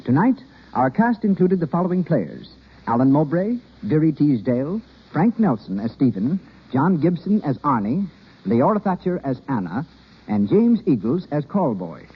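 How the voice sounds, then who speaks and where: A man's voice: fairly high pitch, very animated, normal speed, husky. A man making formal scripted announcements in 1930s radio style. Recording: dull vintage radio recording.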